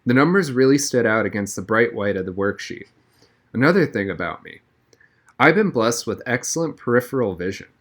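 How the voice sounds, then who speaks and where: A man narrates a children's novel in the first person. His voice sits at a medium pitch, and he reads from the text as an audiobook storyteller. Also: lively intonation, brisk, fairly bright tone.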